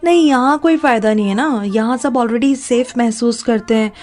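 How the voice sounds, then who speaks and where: Woman playing cautious young companion, fairly high pitch, practical, measured, audio drama acting. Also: darkish tone, faint music behind, natural voice.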